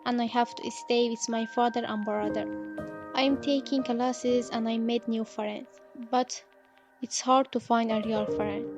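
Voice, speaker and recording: A young woman who is a refugee, sincerely telling how she lived through the lockdown. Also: fairly high pitch. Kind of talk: personal testimony. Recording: dull lo-fi voice over music.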